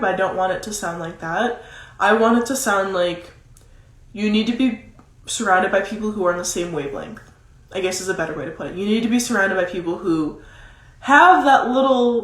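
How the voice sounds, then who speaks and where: A woman's voice: medium pitch, a bit bright. A woman with a nasal, congested voice from a cold gives casual, emphatic motivational advice, repeating key phrases for stress. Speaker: motivational coach. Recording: roomy, echoing sound.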